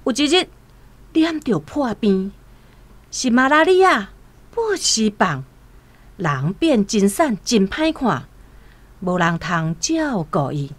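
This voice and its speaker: A woman with a Taiwanese accent narrates a scripted story for radio in an expressive, deliberate, dramatic way. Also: mid-range voice, no room sound. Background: music.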